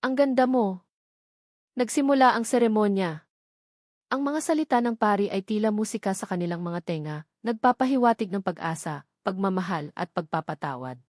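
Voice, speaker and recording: A woman's voice: medium pitch, animated, fairly quick, darkish tone, no echo. Woman narrating a sentimental love story, dry sound.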